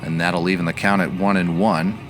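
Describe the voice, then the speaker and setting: A man giving baseball play-by-play commentary as the announcer, measured and analytical in his delivery. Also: sits low, flat delivery, noisy background.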